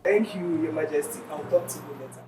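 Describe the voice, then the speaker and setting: A woman's voice: low voice, fast talker, lightly acted. A woman with a Nigerian accent playing a persuasive middle-aged mother and confidante to a queen. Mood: reassuring. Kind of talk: Nollywood film acting. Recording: echoey room, distant mic, music behind.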